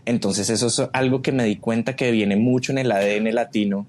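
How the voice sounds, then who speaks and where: A man's voice: mid-range voice, fairly even intonation, brisk, a little mellow, slight background noise. A man with a Colombian accent talking casually and reflectively as a guest in an interview. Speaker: podcast guest, creative director.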